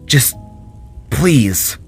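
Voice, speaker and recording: A man voicing an earnest, caring male spark, a desperate father in a fantasy story, with a halting, gentle delivery. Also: mid-range voice, sing-song, vocal fry, breathy edge, faint music behind, heavily acted. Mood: pleading.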